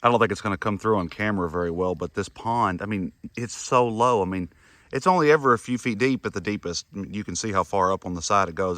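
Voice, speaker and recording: A young man with a Kentucky accent, hoarse from a head cold, chatting easily to camera outdoors by a farm pond. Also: sits low, fairly bright tone, no room sound. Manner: easygoing, rambling, self-deprecating. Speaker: farm vlogger. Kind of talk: casual vlog talk to camera.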